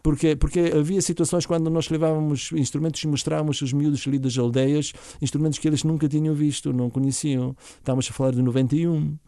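A middle-aged man from Bulgaria speaking with a Bulgarian accent, recounting his story in an interview in a halting way, with restarts. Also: on the high side, flat delivery, warm tone, no echo. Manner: halting, with frequent restarts and fillers. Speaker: interview guest, violinist and orchestra founder.

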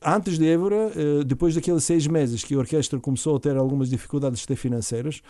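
A middle-aged man from Bulgaria speaking with a Bulgarian accent, recounting his story in an interview in a halting way, with restarts. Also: on the high side, steady tone, mellow, no room sound, studio quality. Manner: halting, with frequent restarts and fillers. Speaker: interview guest, violinist and orchestra founder.

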